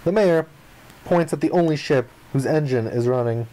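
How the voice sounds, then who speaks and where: A man's voice: fairly high pitch, moderate pace, a little hiss or hum, rough recording. A man reading a visual novel's narration and dialogue aloud as a playthrough host, expressively acting out the lines. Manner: expressive, acts out the protagonist's lines.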